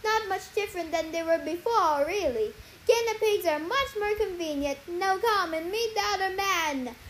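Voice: very high voice, expressive, moderate pace, fairly bright tone, a little hiss or hum, voice pushed up, poor audio. A young reader voicing a scheming sorceress who talks sweetly but cruelly, scolding and condescending. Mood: gloating. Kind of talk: story reading aloud.

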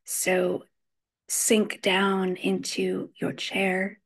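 A woman leading a guided meditation, giving gentle instructions in measured phrases with frequent pauses. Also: medium pitch, monotone.

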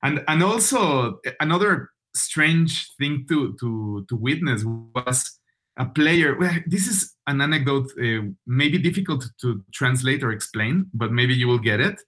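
A man with a Mexican accent, speaking as a guest calling in from far away. He tells football stories in an animated way and laughs easily. His voice sits at an ordinary middle pitch for a man. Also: a little slow. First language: Spanish. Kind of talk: casual storytelling chat.

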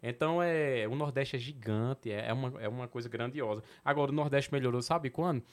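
A young man from Petrolina with a sertão Pernambuco accent, a comedian chatting on a podcast, animated, laughing often and swearing casually. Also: mid-range voice, fairly quick. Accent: Pernambuco sertão, São Francisco valley. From Petrolina, Pernambuco, Brazil.

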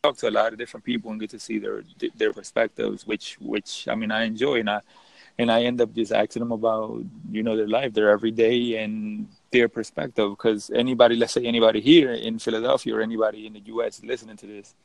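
Gravelly young man chatting casually. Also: fairly low pitch, little pitch movement, fast talker, no echo, low-quality recording. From Dominican Republic. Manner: rambling, many restarts. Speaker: podcast host. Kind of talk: casual chat on a call.

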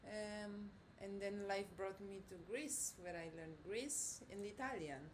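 A woman from Romania answering interview questions, shy and soft-spoken. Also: mid-range voice, measured pace, very noisy. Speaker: podcast guest.

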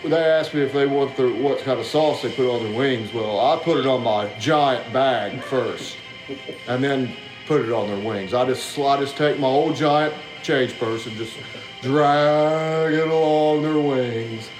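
A man's voice: medium pitch, a little slow, echoey room, over music, rough recording. A man giving a crude, mocking, rambling comedic roast. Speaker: league member giving a roast.

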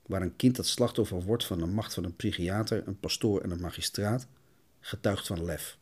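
A man's voice: on the low side, darkish tone, breathy edge. A man narrating an essayistic podcast as its host.

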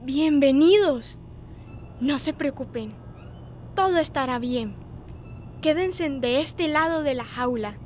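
Little girl whispering, high and slow, protective, radio drama, bit of rumble behind. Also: animated. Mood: gentle, secretive. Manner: hushed, whispering reassurance. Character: protective young migrant girl comforting new children. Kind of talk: radio theatre acting.

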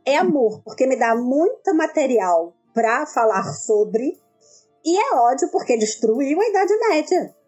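A woman talking in a casual academic discussion, lively and animated. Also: high voice, unhurried, husky, soft background music. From southern Brazil. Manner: lively, animated storytelling with acted quotes. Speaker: medievalist scholar.

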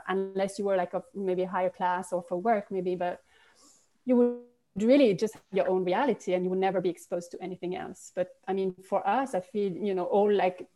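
A woman speaking English answers as an interview guest in a mellow, warm voice. She thinks aloud, circling back with fillers and restarts as she talks. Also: mid-range voice. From Switzerland. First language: French. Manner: reflective, rambling with many restarts and fillers. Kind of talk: casual conversational interview.

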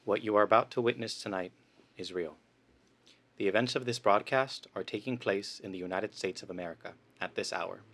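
A man's voice: lowish voice, a little fast, a bit bright. A man playing a pompous, grandiose, easily exasperated artistic director in a comedy radio play. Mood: solemn. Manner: pompous, theatrical, imperious.

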